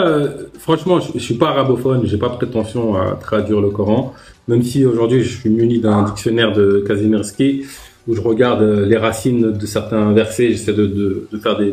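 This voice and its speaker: A man hosting a live online discussion, speaking hesitantly and reflectively, with many pauses. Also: on the low side, a little mellow, echoey room.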